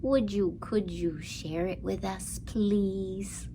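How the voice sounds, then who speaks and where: A woman leads a children's storytime at a very unhurried pace. Her voice has noticeable fry, and her delivery is sing-song and full of questions for little listeners. There is faint noise in the background. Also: mid-range voice, fairly even intonation, mellow. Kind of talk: interactive storytime for young children.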